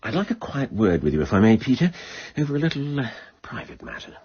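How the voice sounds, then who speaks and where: An actor playing a brisk, exasperated English police inspector in a radio drama, with a hearty, matter-of-fact manner. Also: male voice, medium pitch, big pitch swings, breathy edge. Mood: brisk, wry. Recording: dull radio recording.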